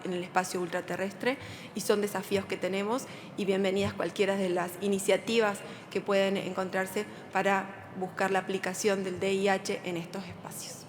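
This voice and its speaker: A woman gives a formal panel presentation as a Red Cross representative, steady and with occasional hesitations. Her delivery is notably flat in pitch. Faint background noise sits under her voice. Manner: steady, even, with filler hesitations. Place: large conference hall.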